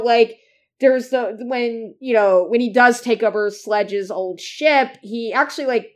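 A woman co-hosting a casual fan podcast, rambling through her points with lots of fillers. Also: on the high side. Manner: rambling, heavy use of "like" and "uh". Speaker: podcast co-host.

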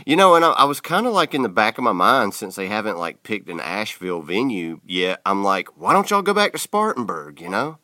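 Laid-back podcast host, bright voice. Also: male voice, on the low side, brisk, hardly any pauses, dry recording, studio quality. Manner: relaxed, filler-heavy Southern talk. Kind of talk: casual interview chat.

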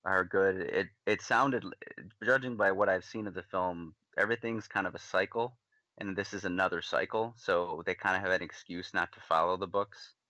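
Low-voiced man ranting with stammers. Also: fairly even intonation, moderate pace, bright, forceful tone, dry recording, rough recording. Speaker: podcast co-host. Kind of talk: casual podcast chat.

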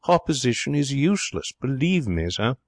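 A man voicing a stately, deferential old butler who is indulgent and quietly scheming in a storybook reading. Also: mid-range voice, sing-song, normal speed, dry recording, pitched above the performer's own voice. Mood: smooth, scheming. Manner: courtly, indulgent, formal and deferential.

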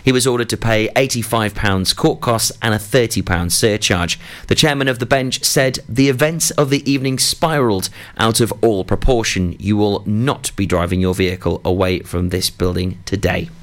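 A man's voice: lowish voice, normal speed, a bit bright. A man reading a scripted local news bulletin on the radio. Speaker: news reader.

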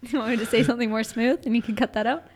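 A young woman co-hosting a podcast in casual chat, loud and projecting, with playful, sarcastic jokes. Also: a little high, steady tone, racing. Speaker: podcast co-host. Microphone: podcast studio mic.

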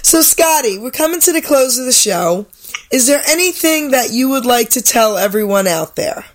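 A woman's voice: high-pitched, lively intonation, moderate pace. Gushing woman radio host. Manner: gushing, affectionate. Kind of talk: casual radio chat.

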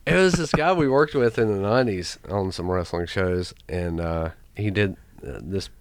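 A man's voice: deep voice, normal speed, dry recording. A man, co-hosting a casual podcast with short, dry one-liners. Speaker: podcast co-host. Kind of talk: casual chat.

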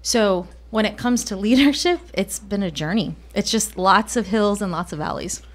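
A woman who grew up in Colombia, a podcast guest telling her career story reflectively, with many pauses. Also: medium pitch. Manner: reflective, with frequent fillers and restarts. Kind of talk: casual interview.

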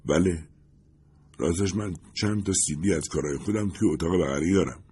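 A man's voice: sits low, dark tone, slightly rough. A man voicing a weary, polite male musician who answers with reserve.

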